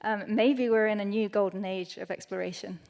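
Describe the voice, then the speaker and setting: A woman's voice: medium pitch, fairly even intonation, a little fast, creaky, darkish tone. Hesitant woman explorer on a panel. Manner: hesitant, many fillers and restarts, upbeat. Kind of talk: live panel discussion. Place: lecture hall with audience.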